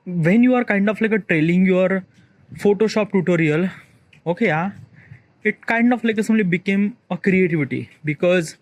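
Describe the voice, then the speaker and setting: A man's voice: sits high, no echo. A man, a content creator recording a solo spoken diary, thinking aloud in a hesitant, rambling way full of fillers and restarts.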